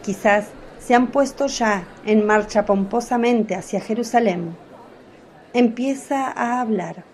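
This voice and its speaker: A woman narrating a scripted radio drama in a calm, even storytelling voice. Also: on the high side, takes it slowly, a faint crowd.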